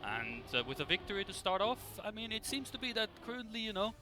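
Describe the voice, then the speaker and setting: A man giving live, conversational esports commentary in a halting, rambling way as he follows the play. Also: high-pitched, sing-song, music in the background. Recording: clear background noise.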